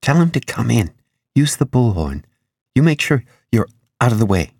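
A flighty woman from an audiobook, voiced by a man. The voice sits higher and swings in pitch, brisk and pedantic, as if always arguing a point while distracted. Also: sing-song, creaky, a little mellow, slightly rough, dry recording, heavily acted. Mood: agitated. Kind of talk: audiobook character dialogue.

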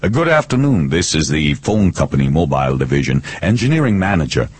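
A man voicing a police detective who bluffs in a polite, hesitant, folksy way in an audiobook, his voice husky. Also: rumbling low, very animated, fairly quick, darkish tone, heavily acted. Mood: polite bluffing. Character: awkward police detective bluffing as phone engineer.

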